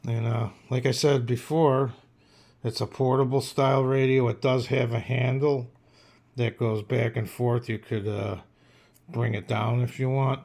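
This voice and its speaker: A man reviews gear in a casual, hands-on way. His voice is gravelly, with plenty of fry at a middling pitch. He speaks in a folksy, unpolished manner. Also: steady tone, unhurried, a little mellow. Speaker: radio reviewer and hobbyist host. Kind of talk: casual product demonstration.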